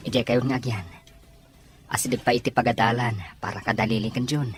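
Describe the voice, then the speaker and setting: A man's voice: mid-range voice, steady tone, fast talker, soft background music, natural voice. A man playing a caring, earnest suitor in a radio-style drama. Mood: reassuring. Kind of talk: Ilocano radio drama acting.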